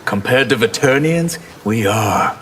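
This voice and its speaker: An actor playing a cool, disdainful water sorcerer warrior in a fighting video game. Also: male voice, mid-range voice, lively intonation, measured pace, long reverb tail, very noisy, lightly acted.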